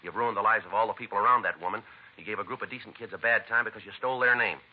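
An actor playing a stern, no-nonsense American police sergeant in a 1950s radio drama, clipped and matter-of-fact. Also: male voice, lowish voice, racing, close to an everyday voice. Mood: stern, scolding. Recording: muffled vintage radio recording, faint noise.

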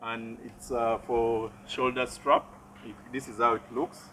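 A young man giving a product demonstration outdoors in short, halting statements, amplified through a megaphone. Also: medium pitch, flat delivery, very noisy. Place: outdoors by a building wall, some distance from camera. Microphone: megaphone and headset into portable speaker.

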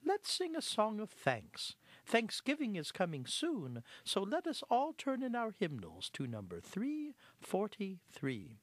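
A storyteller voicing a timid, polite little female mouse organist in a shy, gentle manner. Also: medium pitch, wildly swooping pitch, unhurried, no room sound, pitched above the performer's own voice.

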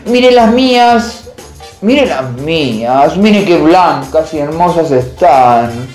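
Man playing a slow, gushing, vain priest, roomy echo. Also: a little high, lively intonation, darkish tone. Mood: fussy, curious. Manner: gushing, theatrical, stammering when flustered. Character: vain, flirtatious, fussy male priest. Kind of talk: radio comedy acting. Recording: roomy echo, faint music.

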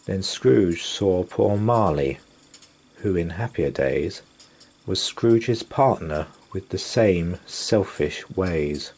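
A man reading a rhyming children's story aloud as a storyteller, with deliberate, theatrical expression. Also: sits low, lively intonation, a little mellow, husky. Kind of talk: dramatic rhyming bedtime story narration.

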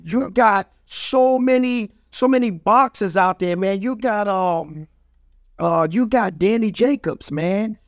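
A middle-aged man with a New York accent holds forth in casual podcast talk. His voice sits high for a man. He is brash and boastful, full of street slang and bawdy storytelling. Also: slow pace, no room sound, studio quality. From Brooklyn, New York. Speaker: guest, street graffiti artist. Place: small studio with foam panels. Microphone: studio mic on a boom arm.

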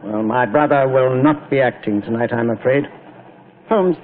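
An actor playing a dignified, sorrowful army general, halting and formal, in a 1940s radio drama. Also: male voice, medium pitch, close to an everyday voice. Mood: grave, grieving. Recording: noisy, tinny 1940s radio broadcast.

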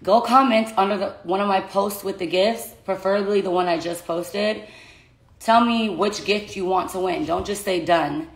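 A young woman with a raspy voice chatting casually to followers on a phone livestream about a giveaway. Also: medium pitch, moderate pace, roomy sound, low-quality recording. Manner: chatty, laughing, talking to her dogs between points. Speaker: social media influencer. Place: small bedroom indoors. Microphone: phone at arm's length.

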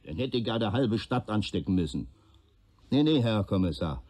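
An actor playing a talkative, self-justifying peddler accused of arson in a radio play, colloquial, rambling and sardonic. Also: male voice, on the low side, big pitch swings, normal speed, mellow. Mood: aggrieved, confessional.

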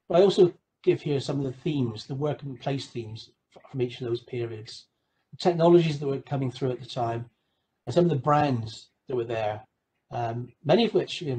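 A man giving an explanatory slide lecture over a video call, measured, with emphatic phrase openings. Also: mid-range voice, warm tone, low-quality recording. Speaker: guest lecturer presenting a webinar.

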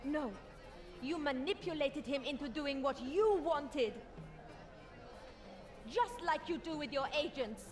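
An actress playing a headstrong young daughter in a video game, indignant and firm. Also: female voice, high-pitched, takes it slowly, long pauses, crowd noise. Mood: defiant, angry. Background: music.

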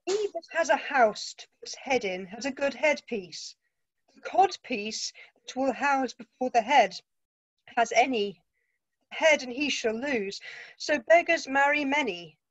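A woman playing a high-voiced riddling fool. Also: animated, unhurried, no room sound, poor audio. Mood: wry. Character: quipping male court fool. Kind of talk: play read-through.

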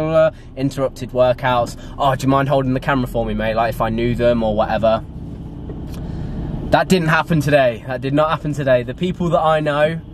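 A young man in his twenties, chatting casually and a little rambling to a handheld camera, first in a car and then at home. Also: mid-range voice. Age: 20s. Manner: chatty, rambling, animated with hand gestures. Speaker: fitness vlogger. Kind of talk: casual vlog chat. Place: inside a parked car, then a home garage gym. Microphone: camera mic at arm's length. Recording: noisy car interior with traffic outside.

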